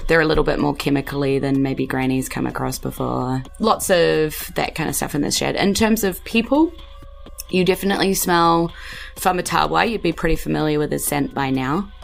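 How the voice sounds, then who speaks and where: A woman's voice: low-pitched, normal speed, gravelly. A woman with a New Zealand accent improvising tabletop game narration as game master, informal and descriptive with hesitant phrasing.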